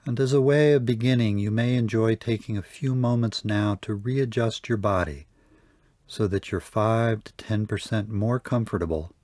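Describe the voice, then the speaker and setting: A man leading a guided yoga nidra meditation, speaking softly and calmly with long pauses. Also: on the low side, no echo. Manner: slow, soft, calm guiding with long pauses. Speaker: meditation teacher.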